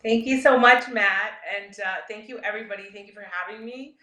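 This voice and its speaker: A woman's voice: medium pitch, a little fast, sharp tone. A woman from Canada, born in El Salvador, giving an informal keynote with personal stories in a candid, chatty manner. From grew up in Edmonton, Canada; born in El Salvador. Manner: candid, chatty, often chuckling. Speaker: keynote speaker, startup founder. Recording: roomy, echoing sound.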